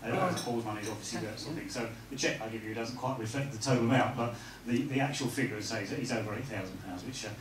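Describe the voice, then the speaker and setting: A man speaks from some distance in an echoey room with clear background noise. He is presenting fundraising cheques in an informal thank-you speech, with a halting, hesitant delivery and a middle-pitched voice. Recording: echoey, noisy room, far from mic.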